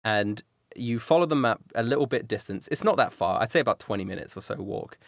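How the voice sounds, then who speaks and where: A man's voice: mid-range voice, animated, a little fast, no echo, studio quality. A man running a tabletop game as dungeon master, describing scenes loosely with many fillers.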